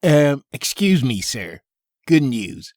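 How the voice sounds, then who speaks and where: A man's voice: mid-range voice, big pitch swings, takes it slowly, a little mellow, dry recording, studio quality. A man playing a deferential, hesitant subordinate in a comic dub. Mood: hesitant, hopeful. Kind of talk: fan comic dub acting.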